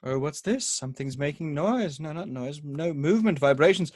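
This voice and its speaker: A man's voice: fairly high pitch, lively intonation, normal speed, a little mellow, no echo, clean studio sound. A man playing a haughty, disdainful classically trained actor in a table read, with a theatrical, pompous manner. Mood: intense.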